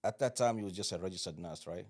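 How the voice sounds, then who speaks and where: A man hosting a podcast, welcoming his guest with upbeat enthusiasm and quick affirmations. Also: low voice. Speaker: podcast host.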